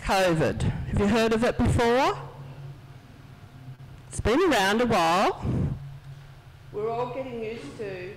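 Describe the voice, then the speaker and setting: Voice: medium pitch, animated, slow, long pauses, a little hiss or hum. A speaker giving a children's talk in church, chatty and conversational as they tell a story. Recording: distant, reverberant church hall sound.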